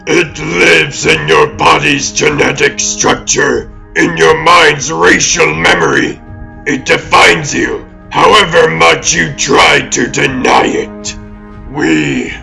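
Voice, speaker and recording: A man voicing an ancient, imperious demon overlord in a comic dub, with a gravelly growl and pompous, formal, deliberate delivery. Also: fairly high pitch, bright, forceful tone, faint music behind, full character voice. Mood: enraged. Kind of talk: theatrical villain acting in a comic dub.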